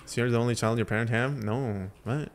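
A man's voice: mid-range voice, fairly even intonation, fairly quick, slight background noise. A young man whose first language is Lithuanian, chatting loosely with his live chat while he plays, talking into a headset. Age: young adult. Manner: rambling, slangy, answers chat between game moves. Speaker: livestreamer. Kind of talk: casual livestream chat while gaming. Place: home streaming room, indoors.

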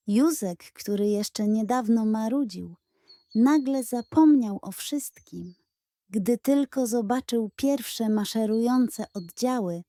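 A woman narrating a scripted children's bedtime story in a gentle, expressive manner. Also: mid-range voice, no room sound.

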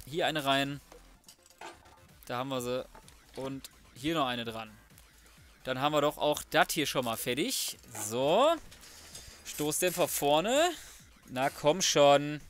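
A man's voice: on the high side, a bit bright. A young man talks through a video game. He thinks aloud casually, muttering and grumbling mildly in colloquial speech. Music plays behind him. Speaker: let's play host. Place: indoors, voice-over recorded apart from the game.

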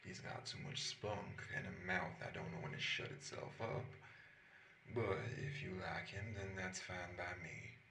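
A man playing a gruff, protective demon best friend in a roleplay audio drama, speaking in a measured, frank and loyal way. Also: low-pitched, fairly even intonation. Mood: frank, fond. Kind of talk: roleplay audio drama, one-sided dialogue. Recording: roomy, distant, noisy recording.

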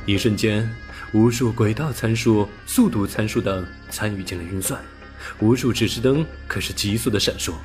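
A man's voice: lowish voice, moderate pace, darkish tone. A man reading a science fiction audiobook as narrator, in a scripted, dramatic storytelling style. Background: music.

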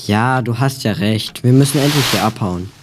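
A woman's voice: rumbling low, moderate pace. A female performer playing a serious, worried boy on the run in an audio drama. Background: music.

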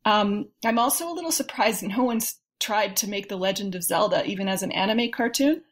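A woman's voice: mid-range voice, fairly quick. A woman speaking as a podcast guest in a casual panel discussion, thoughtful and discursive.

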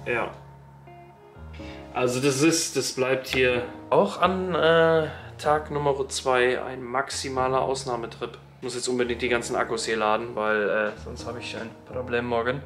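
A man's voice: medium pitch, steady tone, unhurried, fairly bright tone, faint music behind. A man in his thirties hosting a casual vlog, talking slangy and straight to viewers into a phone held at arm's length. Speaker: vlogger host. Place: arena crowd, then hotel room.

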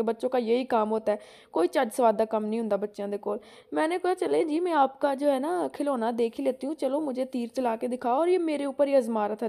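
A woman's voice: high voice, warm tone. A young woman from Pakistan, chatting casually in a vlog, rambling with fillers and laughing often as she films on her phone while walking through a village and around her home. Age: young adult. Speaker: vlogger. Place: outdoors on village roads, then at home. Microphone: phone camera held while walking.

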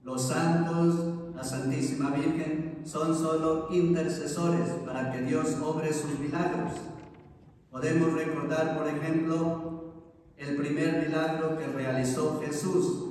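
Slow-speaking priest in an echoing church. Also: male voice, on the high side, steady tone, darkish tone. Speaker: priest leading Mass. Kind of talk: sermon, reading and preaching. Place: large church interior, standing at the altar. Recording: echoey church hall, from far off.

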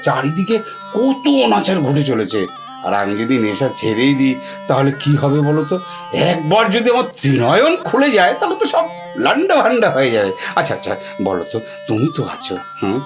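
A woman playing a coaxing, playful old Shiva in an audio drama, repeating words as he wheedles. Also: low voice. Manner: wheedling, stammering repetitions of words. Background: music.

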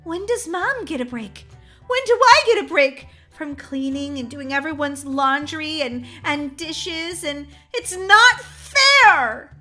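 Long-suffering, flustered mother for game roleplay, played by a woman; very high, bright voice. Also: unhurried, faint music behind. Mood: flustered, upset. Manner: exaggerated, flustered. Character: overworked, exasperated, long-suffering mother of a family. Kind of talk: children's game roleplay acting.

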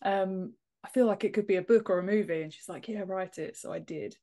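A woman's voice: medium pitch, brisk, dark tone. A woman in her thirties with an English accent, chatting informally and modestly as a podcast guest on a video call. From Britain. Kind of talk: casual interview chat. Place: home office. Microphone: wired earphones on a video call.

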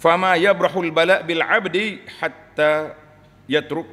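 A middle-aged Malay preacher with a Kelantan accent, his fairly high voice speaking into a pulpit microphone. He climbs to loud peaks and then sinks low and quiet, and the words ring out with a long reverb through a large mosque hall. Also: takes it slowly. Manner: animated preaching, swinging between loud rises and low drops. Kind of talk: Friday sermon. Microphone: pulpit microphone on a stand.

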